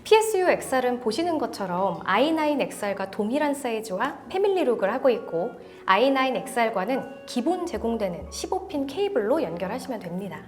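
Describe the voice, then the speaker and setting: A woman's voice: a little high, sing-song, a little fast, hardly any pauses, soft background music. Woman co-hosting product talk. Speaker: co-host. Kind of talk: conversational product talk, asking questions.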